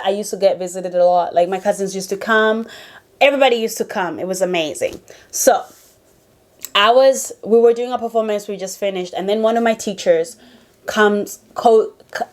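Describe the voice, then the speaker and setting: Animated young woman from Uganda vlogging. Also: medium pitch, normal speed. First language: not English. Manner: animated, expressive storyteller with many fillers. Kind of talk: casual storytelling to camera.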